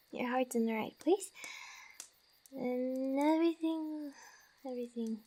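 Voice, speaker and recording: A woman playing a friendly, teasing fantasy wanderer who gives comfort in a soft-spoken, giggly, rambling ASMR roleplay. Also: sits high. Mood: tender, comforting.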